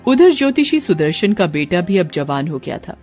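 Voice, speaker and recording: Animated woman narrating a story. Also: fairly low pitch, moderate pace, faint music behind. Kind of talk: dramatic scripted cartoon story narration.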